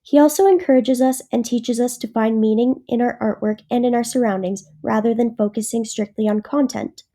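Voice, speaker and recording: Young woman reading a school essay, dark-toned. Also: a little high. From northern Ontario, Canada. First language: French. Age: young adult. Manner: reads a prepared script, formal and even. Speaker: university student. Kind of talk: scripted video essay narration.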